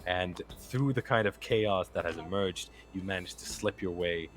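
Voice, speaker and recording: A man narrating a tabletop game as game master, relaxed and full of fillers. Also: sits low, normal speed, a little hiss or hum.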